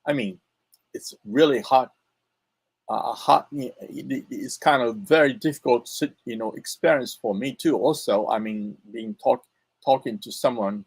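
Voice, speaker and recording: Japanese guy w japanese accent, english coach, talks slow n halting, lots of uhs, dry close mic. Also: medium pitch, slightly rough, no echo, poor audio. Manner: halting, many fillers and restarts. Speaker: pronunciation coach. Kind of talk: casual spoken lesson.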